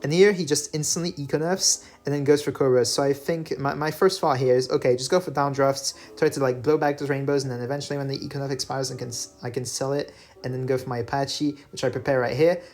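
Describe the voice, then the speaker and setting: A man whose first language is French, talking through his game replays in a relaxed voice-over full of fillers and self-corrections. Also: mid-range voice, fast. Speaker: gaming channel host. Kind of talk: gameplay commentary voice-over. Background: faint background music.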